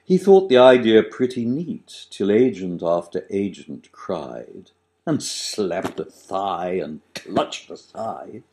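A man in his sixties, an author reciting his own satirical rhyming verse with dramatic, deliberate emphasis and sudden swells and drops. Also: lowish voice, very animated, a little mellow, a little hiss or hum. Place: small living room, on a sofa, indoors.

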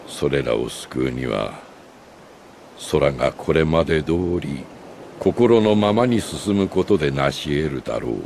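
A very deep, slow-speaking old sage from a video game. He is solemn and wise, with a gravelly edge and grave, measured phrasing. A steady ambient noise sits behind him. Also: exaggerated voice. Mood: solemn, earnest. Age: older. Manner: grave, measured, philosophical delivery with deliberate pauses. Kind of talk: Japanese video game cutscene acting.

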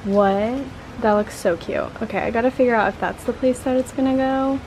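A young woman in her twenties chatting casually as she vlogs about decorating her apartment, thinking out loud with lots of filler words. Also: fairly high pitch, brisk, slight background noise, poor audio. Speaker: lifestyle vlogger. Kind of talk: casual vlog chat to camera. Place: apartment balcony, car and kitchen.

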